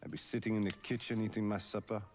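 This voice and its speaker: A man's voice: on the low side, flat delivery, quick pace, a little mellow, faint music behind, natural voice. An actor playing a weary middle-aged neighbourhood boss, fatherly yet commanding, in a dramatic game cutscene. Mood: weary, worried.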